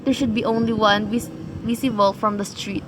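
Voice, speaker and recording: A woman's voice: medium pitch, fairly even intonation, normal speed, lightly acted. A woman acting a cold, methodical murder plotter who gives orders in a student radio play. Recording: noisy amateur recording.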